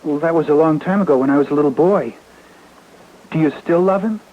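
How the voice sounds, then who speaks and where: A man's voice: on the high side, fairly quick, natural voice. An actor playing a resentful, menacing teenage boy in a 1950s radio drama, needling and insistent. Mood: brooding. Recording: muffled, noisy old radio recording.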